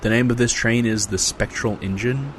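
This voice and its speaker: A man's voice: medium pitch, normal speed, fairly bright tone, slight background noise, natural voice. A man playing a sarcastic, incredulous everyman in a comedic audio drama. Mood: wry. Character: Jesse, exasperated everyman dragged along to a magic convention.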